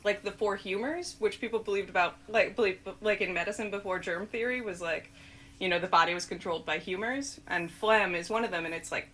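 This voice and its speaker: A woman presents a casual quiz in an upbeat, chatty way. Her voice sits at an ordinary middle pitch, and she is quick to laugh. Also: fairly bright tone. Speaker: host of a language quiz video. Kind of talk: casual game-show presenting and chat.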